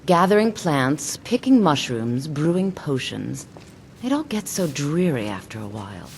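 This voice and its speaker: A low-voiced, seductive townswoman acted by a woman in a fantasy game. She drawls with bored disdain and sly menace, her pitch swooping over a creaky fry. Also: big pitch swings, unhurried, a little mellow, slight background noise, exaggerated voice. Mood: sly, disdainful. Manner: languid, insinuating, disdainful.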